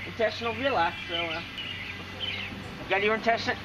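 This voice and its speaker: A man acting as a folksy innkeeper in a homemade comedy skit. His voice sits high, and he is chatty and a little hesitant with a joking streak, over loud background noise. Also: fairly quick, close to an everyday voice. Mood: chatty, genial. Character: folksy male lodge keeper checking travellers' permits. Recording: very noisy amateur video sound.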